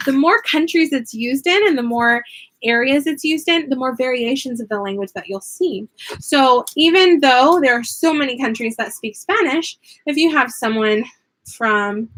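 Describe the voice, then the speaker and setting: Chatty American woman, higher voice. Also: fairly bright tone, a little hiss or hum, low-quality recording. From United States. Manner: chatty, laughs often, goes off on tangents. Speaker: craft vlogger and online teacher. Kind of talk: casual chat while crafting.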